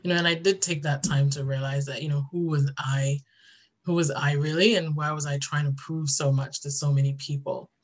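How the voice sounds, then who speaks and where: A woman's voice: low-pitched, steady tone, normal speed. A woman, telling her personal story earnestly as an interview guest. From Ghana. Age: 30s.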